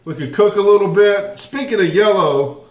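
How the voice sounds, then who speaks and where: Man, Southern American accent, easygoing cooking host, fairly high-pitched, roomy echoey sound. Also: moderate pace. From Southern United States. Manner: folksy, easygoing, talks straight to viewers. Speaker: barbecue channel host. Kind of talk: casual cooking tutorial. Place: home kitchen, then outdoor grill area.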